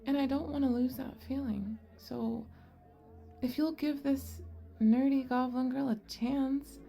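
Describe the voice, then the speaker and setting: Woman acting a shy, high-voiced goblin girl. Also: fairly even intonation, a little slow, vocal fry, faint music behind. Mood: tender, earnest. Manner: hesitant, soft-spoken, bashful stammering. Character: shy, sweet, nerdy young goblin girl. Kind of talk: ASMR romantic roleplay acting.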